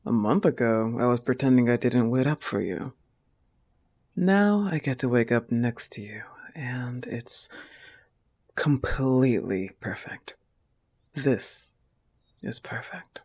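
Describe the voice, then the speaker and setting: A man plays a goth wolf-girl girlfriend in an intimate audio roleplay. The delivery is unhurried and soft, often close to a whisper. The pitch sits at an average male level, with a tender, teasing and territorial manner. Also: expressive. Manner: slow, soft-spoken, often dropping to breathy whispers.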